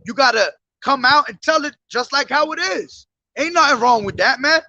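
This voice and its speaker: A man street preaching who reads scripture slowly and drives his points home, calling his listeners "man", his voice hoarse from days of yelling. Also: very high-pitched, expressive, highs cut off. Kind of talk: street sermon with scripture reading. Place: outdoors on a street.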